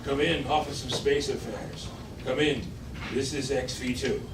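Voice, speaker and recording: A man's voice: medium pitch, steady tone, measured pace, close to an everyday voice. A man playing an upbeat space-crew officer and announcer at an amateur table read. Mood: businesslike. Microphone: far camera across the table. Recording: distant, echoey, noisy restaurant room.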